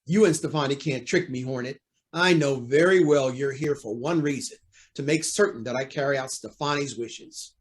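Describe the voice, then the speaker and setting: An actor playing a proud, anxious, cornered judge in an old-time radio drama, speaking in a formal, indignant, theatrical way. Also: male voice, mid-range voice, normal speed, lightly acted. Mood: weary, suspicious.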